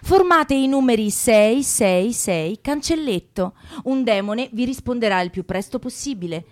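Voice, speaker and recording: A woman playing hell's formal, officious switchboard operator in a radio comedy sketch, announcing menu options slowly. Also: a little high, lively intonation, faint music behind.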